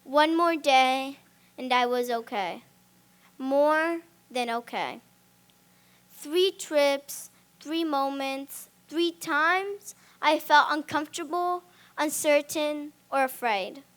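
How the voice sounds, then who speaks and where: An eleven-year-old girl from Spain giving a memorized talk on stage, with dramatic pauses between phrases. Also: high voice, a bit bright. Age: child, 11. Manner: slow, deliberate delivery with dramatic pauses. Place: stage before a live audience.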